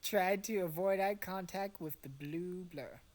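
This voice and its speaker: A woman's voice: lowish voice, a little slow, darkish tone, no echo. A woman narrating a story informally for friends, as an amateur reader with exasperated asides. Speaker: narrator of a fan fiction reading.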